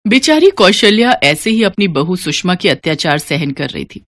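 A woman's voice: on the low side, very animated, normal speed, a bit bright. A woman reading scripted storybook narration as the narrator of a cartoon tale.

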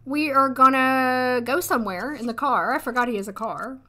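A woman in her thirties, hoarse today, chatting casually and thinking aloud as she streams a life-sim game from a desk at home. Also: high voice, normal speed, pushed and bright. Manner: chatty, thinking aloud, frequent little laughs. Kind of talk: casual gameplay commentary. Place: home room, seated at desk.

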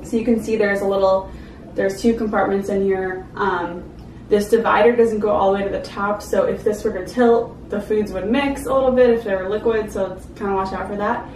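A woman's voice: medium pitch, steady tone, normal speed, a little mellow. Young woman chatting in a roomy, noisy recording. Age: young adult. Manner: chatty, informal. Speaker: food vlogger reviewing products. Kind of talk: casual product review to camera. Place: small indoor room, seated at a table. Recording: roomy, distant mic with clear background noise.

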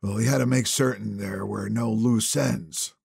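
An anxious, guarded male confidant played by a male narrator talks carefully and deferentially in an audiobook. The voice is husky and creaky, recorded dry and close. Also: lowish voice, steady tone, measured pace, a little mellow, no room sound, heavily acted. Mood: guarded, tense. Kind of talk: audiobook character dialogue.

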